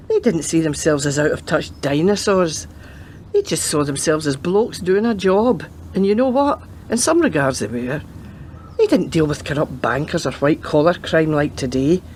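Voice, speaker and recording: A woman's voice: deep voice, a little mellow, a little hiss or hum. A woman playing a pragmatic retired policewoman and grandmother in a radio play, giving matter-of-fact, emphatic advice.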